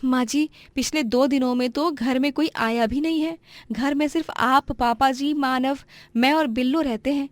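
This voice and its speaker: A young, sharp-tongued daughter-in-law played by a woman narrator from a cartoon moral tale. Her voice is fairly high and close, pleading and arguing with indignation. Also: normal speed, hardly any pauses, dry recording, close to an everyday voice. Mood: indignant. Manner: pleading, argumentative. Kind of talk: animated Hindi moral-story voice acting.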